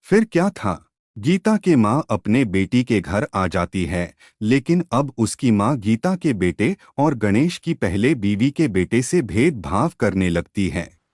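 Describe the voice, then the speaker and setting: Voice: medium pitch, sing-song, slow pace, darkish tone, dry recording, clean studio sound. A narrator reading a scripted moral story.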